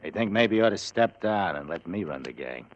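Defiant American hoodlum, very low voice, slangy, needling, radio crime drama, muffled vintage recording. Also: male voice, expressive, a little fast. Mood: pushy. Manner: slangy, needling tough-guy talk. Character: dull-witted ambitious gangster henchman, defiant.

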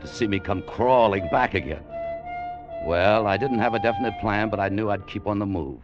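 A man's voice: lowish voice, normal speed, natural voice. An actor playing a restless, cynical, world-weary American salesman in an old radio drama, talking and narrating in a hard-boiled way. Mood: brooding. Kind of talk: 1940s-50s radio drama acting, first-person narration. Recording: dull vintage radio recording, music behind.

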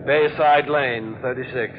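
A man's voice: medium pitch, measured pace, lightly acted. Man playing coldly polite, menacing jealous husband. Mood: coolly probing. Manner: clipped, courteous, mockingly calm. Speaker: radio drama actor. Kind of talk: old-time radio mystery acting. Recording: muffled, slightly noisy old radio recording.

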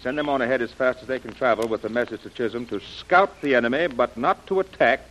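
An actor as a crisp commanding leader. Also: male voice, medium pitch, fast talker, a bit bright, slight background noise, lightly acted, poor audio. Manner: crisp, authoritative orders. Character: commanding male expedition leader. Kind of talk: 1930s radio acting.

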